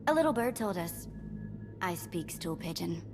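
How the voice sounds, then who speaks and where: An actress playing a sassy, playful thief in a video game, talking in a casual, slangy, teasing way. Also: female voice, on the low side, very animated, moderate pace. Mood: breezy. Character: sassy, playful female thief, breezy and streetwise. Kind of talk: video game cutscene acting. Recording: long reverb, music behind.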